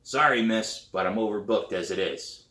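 A man's voice: fairly low pitch, moderate pace, bright, forceful tone. Crabby middle-aged drum teacher, read by a man. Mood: wary, gruff. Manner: dry, dismissive. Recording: roomy, echoey living room.

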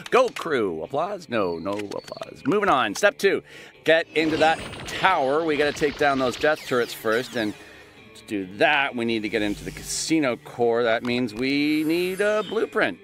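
Game heist planner: young, awkward, halting, lively range, trying hard to lead. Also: male voice, mid-range voice, faint music behind. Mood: awkwardly eager. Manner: halting, self-conscious, eager. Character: awkward self-narrating young man playing heist leader. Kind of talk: comic game cutscene acting.

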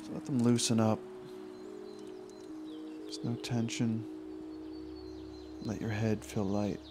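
Slow-speaking man guiding meditation over music. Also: on the low side, level tone. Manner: informal, soothing, unhurried phrases with long pauses. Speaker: meditation guide and host. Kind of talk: guided meditation, yoga nidra body scan.

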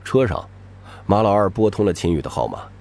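A man's voice: low voice, very animated, a little fast, gravelly, darkish tone. A man reading scripted, dramatic audiobook narration.

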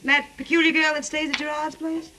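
An actress playing a jaded, mocking femme fatale in a 1940s radio noir drama. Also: female voice, high-pitched, little pitch movement, fairly quick, sharp tone. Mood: flirtatious, mocking. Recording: hissy, noisy 1940s radio recording.

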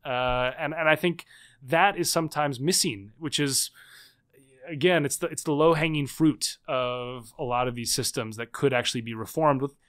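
A man in his thirties, a book author, explaining his ideas in a podcast interview with lively restarts. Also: medium pitch, clear, resonant tone. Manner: animated, fast explaining with restarts. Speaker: guest author.

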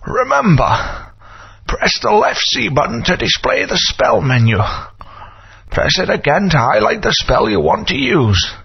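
An actor playing a frail, kindly old wizard with a very hoarse, gravelly voice. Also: male voice, fairly high pitch, sing-song, unhurried, full character voice. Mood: weary. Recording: dull lo-fi game audio.

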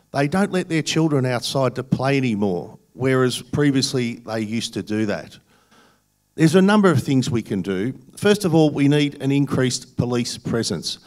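A middle-aged man with an Australian accent speaks at a candidates' forum in a theatre, holding a microphone close. He talks in an earnest, insistent way and repeats phrases to hammer his points. His pitch sits at an ordinary middle level. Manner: earnest, repetitive, stressing points. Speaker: election candidate. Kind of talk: forum answers to audience. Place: theatre stage panel. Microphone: handheld mic.

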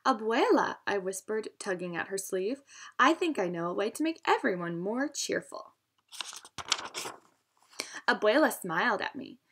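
A woman reading a storybook aloud for children in an expressive, instructional teacher's manner. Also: mid-range voice, a little slow, long pauses, noisy background.

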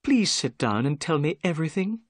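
A man putting on a gentle young woman. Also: a little high, big pitch swings, fairly quick, no echo, clean studio sound, voice pushed up. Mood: eager.

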